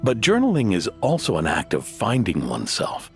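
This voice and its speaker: An actor playing a kindly, thoughtful inventor in a video game, speaking gently and patiently like a father figure. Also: fairly low pitch, wildly swooping pitch, moderate pace, soft background music.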